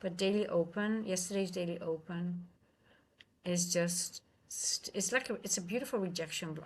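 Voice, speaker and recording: A woman with a Dutch accent, a native Dutch speaker, hosting a live trading stream, thinking aloud in halting, casual commentary. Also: fairly low pitch, a little mellow. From Netherlands.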